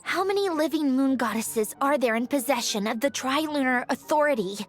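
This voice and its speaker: A woman's voice: sits high, lively intonation, a little fast, soft background music. A woman voicing a sardonic, weary mechanical puppet researcher in a video game, speaking in a dry, analytical way. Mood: determined, strained. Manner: analytical, dry, clipped self-talk.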